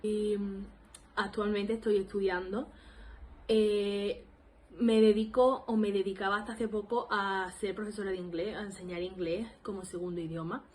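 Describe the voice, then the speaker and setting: A woman's voice: medium pitch, steady tone, normal speed, a little mellow. Chatty young Andalusian woman. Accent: Andalusian Spanish. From Spain. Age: 20s. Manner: rambling and self-deprecating, lots of laughs and fillers. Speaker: language learning vlogger. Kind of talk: casual self-introduction to camera.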